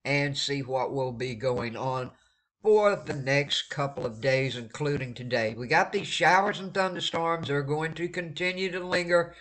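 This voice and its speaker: A man's voice: on the high side, a little slow, a bit bright. A man with a stuffed-up nose, giving a friendly, casual weather forecast over maps, repeating words for emphasis. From eastern North Carolina. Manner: friendly, repeats words for emphasis, warns listeners to stay safe. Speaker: weather vlogger.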